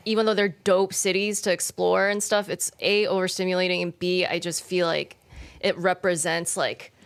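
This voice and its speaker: Woman, low voice, casual podcast chat, filler-heavy, slips into Korean. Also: little pitch movement, moderate pace, clean tone. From Michigan, US. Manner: mixes Korean phrases into English. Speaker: podcast co-host.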